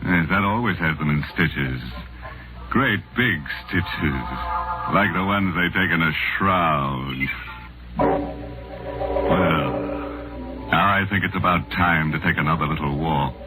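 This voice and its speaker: A man's voice: low-pitched, slow, pauses often, poor audio. A man playing a ghoulish, gleeful horror host in 1940s radio style, teasing the listener with mock sympathy and macabre puns. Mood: gleefully macabre. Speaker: host of the show. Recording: vintage 1940s radio recording with music.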